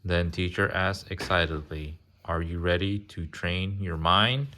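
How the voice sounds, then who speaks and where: A man with a low voice reads a picture book to a child at an unhurried pace. He is casual and interactive, often stopping for chatty asides and questions. There is clear noise in the homemade recording. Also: pushed and bright. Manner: interactive, pausing to ask and explain.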